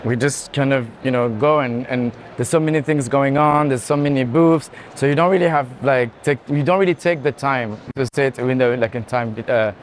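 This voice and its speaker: A man giving casual, haltingly phrased art commentary while talking with others in a busy fair hall. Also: mid-range voice. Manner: halting, loosely phrased with frequent "like". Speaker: art institution director on a fair tour. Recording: clear background noise of a busy venue.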